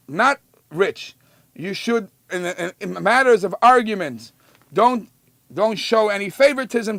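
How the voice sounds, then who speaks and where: A man teaches like a rabbi. His voice sits high and has a strong gravelly creak, captured close and dry. He explains scripture emphatically, drawing out quoted verses before talking them through conversationally. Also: fairly bright tone, no echo. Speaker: rabbi giving a Torah lesson.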